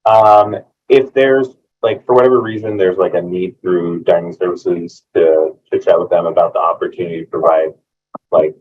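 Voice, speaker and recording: A man's voice: low-pitched, steady tone, moderate pace, slightly rough. A man from a housing staff answering a question in a joking, informal way. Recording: distant tinny phone-like audio.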